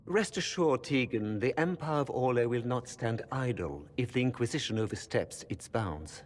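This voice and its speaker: A male actor plays a haughty envoy of an empire in a fantasy game. He is formal and condescending, with highly varied intonation that carries through a reverberant hall. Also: lively intonation, normal speed, natural voice. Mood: haughty, cool. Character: haughty, diplomatic imperial envoy at a council. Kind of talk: dramatic fantasy game acting.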